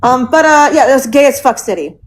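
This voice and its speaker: A woman's voice: sits high, animated, brisk. A woman from Germany on a casual debate panel, speaking bluntly and swearing freely.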